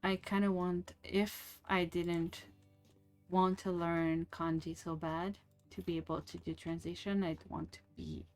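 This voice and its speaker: Slow, rambling woman streamer. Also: fairly low pitch, lively intonation, faint music behind. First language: French. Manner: slow, rambling, many fillers and restarts. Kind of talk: casual chat while playing a game.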